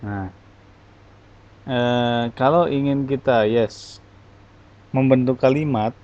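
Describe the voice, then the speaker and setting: A man teaching an English class in a relaxed, casual way, answering student questions with lots of fillers. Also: medium pitch, takes it slowly, muffled recording. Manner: relaxed, explains with many fillers.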